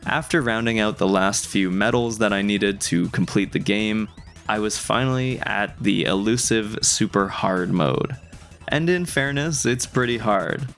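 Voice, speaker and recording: Low-voiced man narrating over music. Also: fairly bright tone. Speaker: video game reviewer, video creator. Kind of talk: scripted video essay narration.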